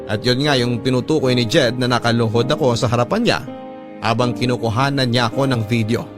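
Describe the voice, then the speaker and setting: Male storyteller, Filipino accent, mid-pitched, dramatic first-person narration, clear music behind. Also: steady tone, fairly quick.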